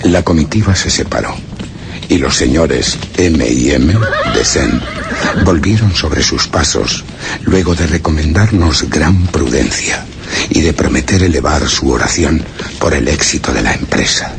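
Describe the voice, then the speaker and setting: Very deep, gravelly, grave expedition survivor. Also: male voice, full character voice. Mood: grave, solemn. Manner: measured, formal, ominous. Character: grave male expedition survivor recounting a dreadful journey. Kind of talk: solemn literary horror radio drama narration. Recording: very noisy radio drama recording.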